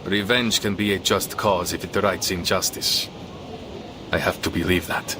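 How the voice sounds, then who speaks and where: An actor playing a brooding, grief-hardened male assassin in a game, speaking in a solemn, measured way. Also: deep voice, steady tone, background noise, lightly acted. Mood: grim resolve. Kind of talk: dramatic visual novel dialogue. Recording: game audio with music behind. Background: game music.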